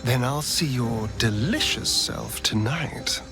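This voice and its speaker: An actor playing a flirtatious schemer in a video game, with an arch, insinuating delivery. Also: male voice, mid-range voice, slow pace, over music. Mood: sly. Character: suave, flirtatious, scheming man. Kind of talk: video game character acting.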